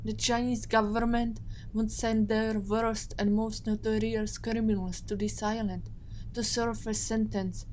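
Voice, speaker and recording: An actress playing a composed Interpol agent held captive, speaking in a measured, matter-of-fact way in an audio drama. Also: female voice, fairly high pitch, little pitch movement, darkish tone, a little hiss or hum, close to an everyday voice, low-quality recording. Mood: composed, explanatory.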